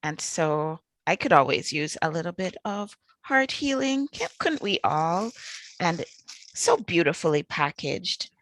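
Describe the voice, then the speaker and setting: A woman's voice: on the low side, very animated, unhurried, a bit bright, no echo. A woman with a Trinidadian accent hosting an online networking call in an effusive, enthusiastic way. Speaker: host. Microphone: video call.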